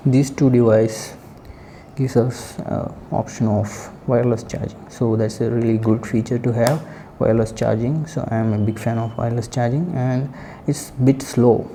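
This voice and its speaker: Man, very dark muted tone, flat intonation, halting casual tech review, faint noise. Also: mid-range voice, vocal fry. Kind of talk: casual spoken product review.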